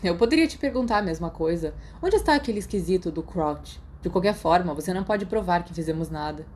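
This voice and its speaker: A woman voicing an irritable, sarcastic but soft-hearted teenage schoolboy in an audiobook story, with a Brazilian accent. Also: lowish voice, expressive, quick pace, close to an everyday voice. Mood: irritated, mocking. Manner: sardonic, defensive. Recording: noticeable background noise.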